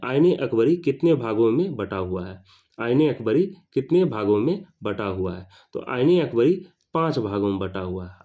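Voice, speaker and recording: Dark-voiced man teaching exam questions. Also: medium pitch. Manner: didactic, repeats each question and answer. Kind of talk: exam question-and-answer lesson. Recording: dull lo-fi sound.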